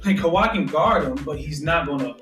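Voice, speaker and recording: A man's voice: on the high side, a little fast, a bit bright, far from the mic. A man co-hosting a sports podcast, arguing his case insistently in casual, slangy talk. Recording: roomy, off-mic, music underneath. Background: music.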